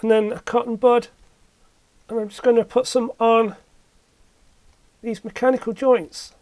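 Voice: mid-range voice, little pitch movement, measured pace, darkish tone, no room sound. A hobbyist presenter giving a casual hands-on repair commentary, thinking aloud while working. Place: indoor workbench.

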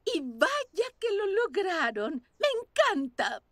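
A woman's voice: extremely high pitch, big pitch swings, takes it slowly, full character voice. A woman voicing a kindly, delighted old grandmother bunny in a cartoon dub. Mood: affectionate. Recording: music behind the voice.

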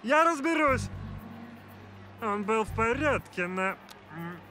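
Excitable high-voiced cartoon detective. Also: male voice, slow, noisy background. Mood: eager, reassuring. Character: excitable, self-assured comic amateur male detective. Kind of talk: animated cartoon dubbing.

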